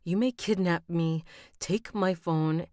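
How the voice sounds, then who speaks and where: A woman's voice: on the low side, slow, a little mellow, no room sound, voice pushed up. A woman voicing a furious young heroine held captive, who swears and is close to tears with anger. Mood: seething. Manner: seething, swearing, near tears. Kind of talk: rehearsing an audiobook reading on a livestream.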